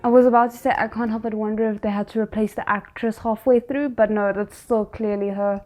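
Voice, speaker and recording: A woman's voice: medium pitch, fairly even intonation, brisk, a little mellow, faint music behind. A woman giving casual reaction commentary as a host, musing aloud in hesitant fragments.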